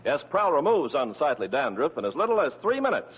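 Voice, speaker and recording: A man's voice: on the high side, sing-song, brisk, husky. A man with an American accent announcing a commercial and show in 1940s radio style. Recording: muffled vintage radio with music.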